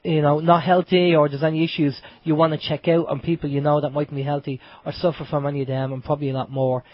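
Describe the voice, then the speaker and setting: A man's voice: fairly high pitch, level tone, fast, dry recording. An Irish man with a cold, sounding blocked up and nasal, hosting a casual internet radio chat. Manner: chatty, loose, with restarts. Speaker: radio show host. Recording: dull lo-fi home radio stream.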